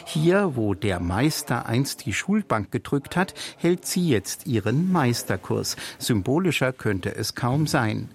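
A man reads a scripted radio report at an even, measured pace. His voice sits at a middle pitch.

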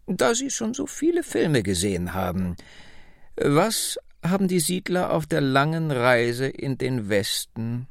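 A frail, wry old woman nearing her hundredth birthday, put on by a male reader draws out her words in an audiobook. The voice has a gravelly edge and swoops up and down. The sound is close and dry. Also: mid-range voice, sing-song, no echo. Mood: teasing. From United States. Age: about 99. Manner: slow, drawn-out storytelling with teasing questions. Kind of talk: audiobook reading.

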